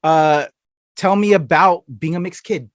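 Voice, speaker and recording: A male podcast host asking halting questions and gushing with affirmations. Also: a little high, no room sound. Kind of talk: casual podcast interview.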